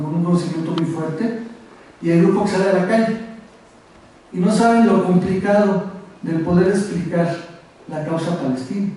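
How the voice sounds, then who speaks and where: A very echoey, distant recording with faint noise carries a man speaking at a conference. His Mexico City accent comes in a high-pitched voice. He presents informally and haltingly, repeating words as he goes. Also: mellow. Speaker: activist speaker on a conference panel. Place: university auditorium.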